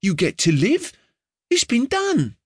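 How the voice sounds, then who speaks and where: A man's voice: extremely high pitch, huge pitch swings, breathy edge, dry recording, studio quality, voice pushed up, heavily acted. Man voicing a cheeky street boy. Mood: eager. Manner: chatty, slangy, quick.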